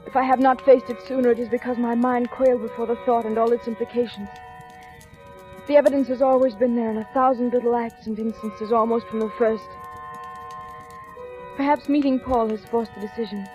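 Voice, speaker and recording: Woman acting a troubled wife over clear music. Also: fairly high pitch, steady tone, a little fast, darkish tone, close to an everyday voice. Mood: brooding, anxious. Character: troubled woman, mentalist's wife and assistant, earnest. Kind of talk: 1940s radio drama, dramatic first-person narration. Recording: muffled old radio recording, music behind.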